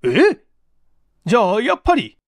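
A man's voice: extremely high pitch, wildly swooping pitch, normal speed, creaky, dry recording, clean studio sound, voice pushed up, heavily acted. A man playing a firm but kindly police investigations chief in an audio mystery drama, questioning formally and with authority. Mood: surprised, probing.

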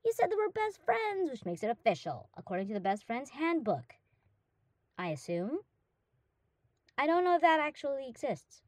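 A woman voices a young dog-girl full of eager affection. Her voice is high and swings up and down with excitement as she gushes and rambles. It is an audio roleplay, recorded very dry and close. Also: normal speed, pauses often, no echo. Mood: overjoyed, then rambling, hopeful. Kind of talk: roleplay audio drama, acted monologue to the listener.

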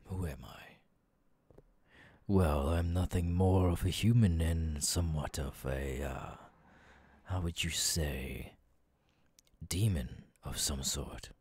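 A man voicing a menacing, haughty, sadistic demon king in an ASMR audio roleplay, soft-spoken and condescending. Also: a bass voice, slow pace, long pauses, breathy edge, no echo, exaggerated voice. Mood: calm, threatening. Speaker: voice actor.

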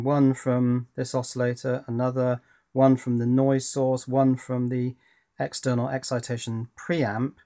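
A man's voice: mid-range voice, darkish tone. A man giving a casual hands-on electronics demonstration, thinking aloud a little hesitantly as he goes. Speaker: electronics hobbyist presenter. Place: indoors at a workbench.

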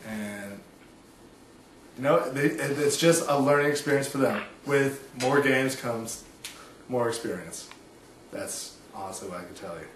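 A man playing a coach at a press conference, speaking haltingly with many pauses and ums. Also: medium pitch, distant mic, slight background noise. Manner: halting, hesitant, full of ums. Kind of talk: comic press conference skit. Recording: echoey room, heard from afar.

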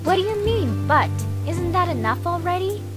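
A woman's voice: extremely high pitch, sing-song, moderate pace, music in the background. A woman playing a bossy, exasperated teenage schoolgirl in an anime fan dub, nagging with concern.

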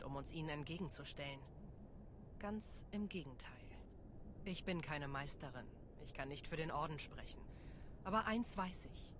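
Female Jedi for a game: low voice, earnest, determined, noisy ambience behind. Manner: earnest, composed. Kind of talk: dramatic game cutscene acting.

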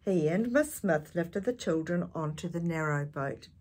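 Husky older woman reading a children's story. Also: on the low side, unhurried. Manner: animated, addressing listeners with tag questions. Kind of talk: expressive picture-book reading with chatty asides to young listeners. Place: small indoor space with curtain backdrop.

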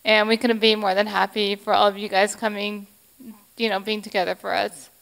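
A woman's voice: medium pitch, flat delivery, brisk, vocal fry, a bit bright, low-quality recording. A woman thanking wedding guests in a chatty, giggly way. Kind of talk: casual thank-you speech.

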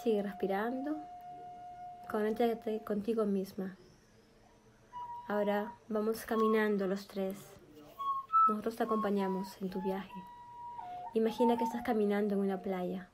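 A woman leading a guided meditation in a soothing, gentle manner, speaking in short, spaced phrases. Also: medium pitch, fairly even intonation, a little slow, pauses often, heavy background noise. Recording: background music with flute. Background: meditation music with flute.

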